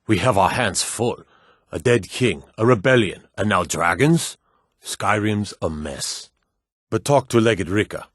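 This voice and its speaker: An actor playing a gruff, proud Nordic warrior and guard in a fantasy video game, speaking in a firm, emphatic, declamatory way. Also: male voice, on the low side, animated, measured pace, a bit bright, no room sound, clean studio sound, full character voice. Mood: dutiful, weary. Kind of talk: dramatic video game dialogue.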